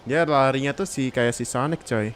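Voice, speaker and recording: A man's voice: medium pitch, a little fast, slight background noise. A man with an Indonesian accent giving casual, slangy live commentary as a game streamer. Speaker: game livestreamer. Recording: loud game music behind. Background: game music and sound.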